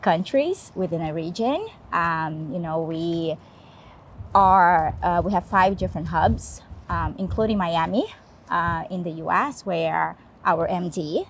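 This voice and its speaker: A woman's voice: fairly low pitch, animated, a little slow, a little hiss or hum. A woman with a Brazilian Portuguese accent, a guest speaking English as her second language, talking casually and often adding "right?". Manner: fillers, frequent tag "right?". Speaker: HR business partner, guest. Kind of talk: casual interview talk.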